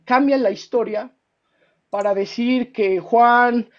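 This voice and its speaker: A man's voice: very high voice, steady tone, slow pace, muffled recording. A man with a Mexican accent debating as a self-described historian, often repeating himself and talking down with "my friend". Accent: Mexican Spanish. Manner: interrupts, repeats words, patronizing "mi estimado". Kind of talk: heated debate on a call.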